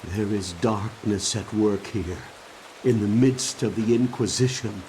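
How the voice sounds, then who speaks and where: An actor playing an earnest, troubled but resolute monk in a video game cutscene, with a solemn, formal delivery. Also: male voice, on the low side, steady tone, measured pace, darkish tone, close to an everyday voice. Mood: wary. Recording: long stone-hall reverb, faint noise.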